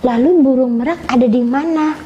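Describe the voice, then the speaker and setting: A woman hosting a children's storytelling show, animated and coaxing, prompting a young storyteller. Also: high voice, a little fast, highs cut off. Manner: animated, coaxing, often laughing. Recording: faint background noise.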